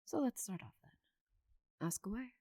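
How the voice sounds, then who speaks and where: A woman voicing a giant female orc knight in an ASMR roleplay, confident, teasing and loyal, with soft breathy asides. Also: mid-range voice, lively intonation, measured pace, no room sound, studio quality. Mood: calm, amused. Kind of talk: ASMR roleplay, intimate slow delivery.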